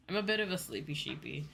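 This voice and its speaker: Low-pitched chatty woman streamer, music behind. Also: animated, moderate pace, vocal fry, a bit bright. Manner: chatty, rambling, heavy use of like, casual swearing. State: sleepy. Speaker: VTuber livestreamer; school teacher. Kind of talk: casual livestream chat to viewers.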